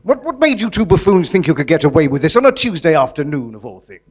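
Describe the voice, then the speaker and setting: Man playing animated, sardonic crown court judge. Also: a little high, fast, breathy edge. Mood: incredulous. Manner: formal, weary, spluttering with disbelief. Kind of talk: live stage comedy acting.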